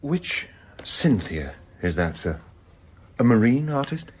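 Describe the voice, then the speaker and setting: An actor playing a dutiful, questioning police subordinate in a radio crime drama, deferential and gently dry. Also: male voice, medium pitch, big pitch swings, slow, slightly rough, exaggerated voice. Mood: dry puzzlement.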